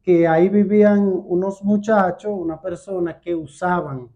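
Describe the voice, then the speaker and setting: A man with a Dominican accent giving a personal testimony as a guest, halting and repeating words as he goes. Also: sits high, steady tone, gravelly, mellow. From Dominican Republic. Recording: dull, muffled lo-fi sound.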